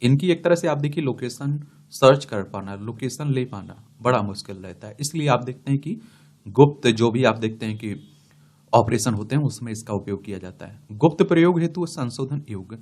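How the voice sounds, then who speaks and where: A man's voice: mid-range voice, a little mellow. A man giving an explanatory lecture, reading from study notes and addressing students as he repeats key terms. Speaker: teacher for exam students.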